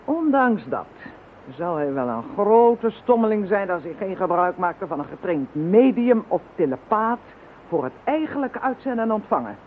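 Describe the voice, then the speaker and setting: Zelfverzekerde kenner van het occulte, ironisch, licht ruisend. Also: male voice, high-pitched, very animated, moderate pace. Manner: lecturing, self-assured. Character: calm male occult expert, confident and wry. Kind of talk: radio play acting. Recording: lo-fi phone-band radio recording with faint hiss.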